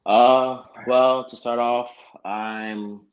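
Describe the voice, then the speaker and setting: A man's voice: mid-range voice, level tone, extremely slow, clear, resonant tone, lo-fi sound. A young man from Haiti who came to the US as a child, talking casually as a podcast guest. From born in Haiti, moved to the US as a child. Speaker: podcast guest, dance instructor.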